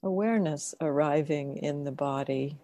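A woman with a low, mellow voice leads a guided meditation. She speaks very slowly and gently, leaving long pauses between short phrases. The sound is close and dry. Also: dry recording. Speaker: meditation teacher.

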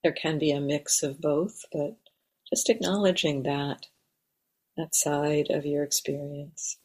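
A woman leading a guided meditation in a gentle, measured way, leaving long pauses. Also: low voice, steady tone, breathy edge. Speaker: meditation teacher. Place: meditation center.

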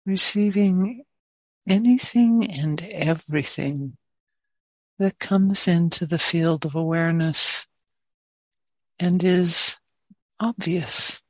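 A woman teaching meditation, gently guiding listeners with long, unhurried pauses. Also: on the low side, no echo, low-quality recording. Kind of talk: guided meditation.

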